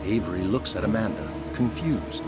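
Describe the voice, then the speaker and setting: Male narrator, low voice, dramatic scripted storytelling, loud music bed behind. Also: expressive, normal speed.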